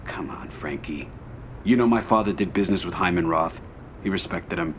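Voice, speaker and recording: An actor playing a cold, controlled crime family boss in a video game cutscene, speaking in a measured, commanding way. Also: male voice, low-pitched, a little hiss or hum, close to an everyday voice. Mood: firm, controlled. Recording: loud music behind the dialogue.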